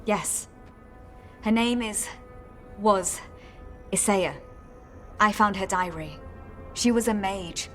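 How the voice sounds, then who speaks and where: A woman's voice: medium pitch, takes it slowly, long pauses, soft background music, natural voice. A woman playing a nervous, earnest former warden recruit in a fantasy game, speaking hesitantly and solemnly. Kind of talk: game dialogue acting.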